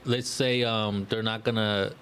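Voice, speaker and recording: A man's voice: lowish voice, level tone, unhurried, fairly bright tone, a little hiss or hum. A man chatting casually as a podcast co-host, slangy and profane, often tagging on "you know what I mean".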